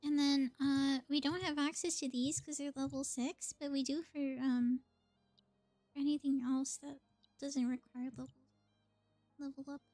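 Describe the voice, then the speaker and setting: Female game streamer, high-pitched, chatty and informal, musing aloud with soft music underneath. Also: little pitch movement, normal speed, dry recording. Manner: chatty, thinking aloud, giggly. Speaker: live streamer playing a video game. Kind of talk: casual live game commentary.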